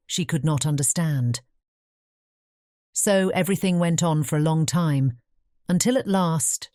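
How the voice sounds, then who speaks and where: A woman narrating a fairy tale audiobook in a measured bedtime storytelling manner. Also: low-pitched, dark tone, no room sound, clean studio sound.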